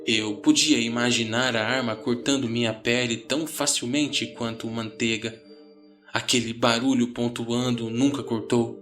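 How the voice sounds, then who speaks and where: A man with a Brazilian Portuguese accent reading a scripted horror story in a measured, suspenseful way. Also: mid-range voice, fairly bright tone. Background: faint background music.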